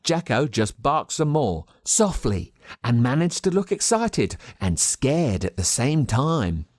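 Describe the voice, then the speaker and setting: An Australian man reading a scripted children's story as narrator, with dramatic, expressive delivery. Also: a little high, dry recording, clean studio sound. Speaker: audiobook narrator.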